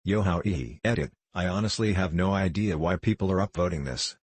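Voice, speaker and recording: A man's voice: sits low, a little fast, husky, no room sound. A man reading online forum comments aloud in a casual, offhand way. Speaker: comment reader.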